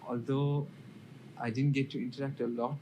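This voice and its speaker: A man in his twenties who grew up in Saudi Arabia, talking as a podcast guest in an even, measured way with many fillers. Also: medium pitch. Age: 20s. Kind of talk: casual interview storytelling. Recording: noticeable background hiss.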